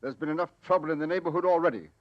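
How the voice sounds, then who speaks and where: An impatient, irascible lord of the manor, played by a man, with a fairly high voice. He is gruff and dismissive, in an old radio drama with dull sound. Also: very fast, lightly acted. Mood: irritated. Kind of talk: 1940s radio acting.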